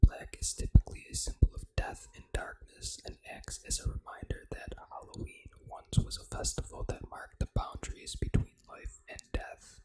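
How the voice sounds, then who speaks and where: A video game ASMR host delivers everything in a hushed whisper. The voice is breathy and fully unvoiced, with no normal speaking tone. The reading is soft, deliberate and unhurried. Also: low-quality recording.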